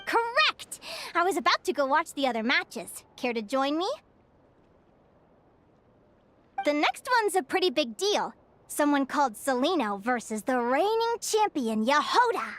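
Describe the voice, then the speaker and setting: A woman playing a spirited, expressive young woman in a fantasy video game. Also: high voice, moderate pace. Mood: cheerful. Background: music.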